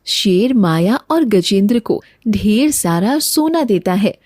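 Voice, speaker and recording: A woman's voice: mid-range voice, animated, slow, darkish tone, dry recording, studio quality. A woman reading scripted narration for a children's moral story.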